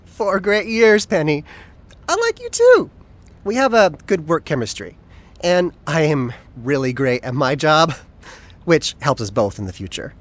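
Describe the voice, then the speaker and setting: A flamboyant, loyal male assistant in an audio drama. His pitch leaps around expressively as he banters with playful, theatrical wit. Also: big pitch swings, measured pace. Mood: warm, sincere. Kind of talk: audio drama acting, conversational.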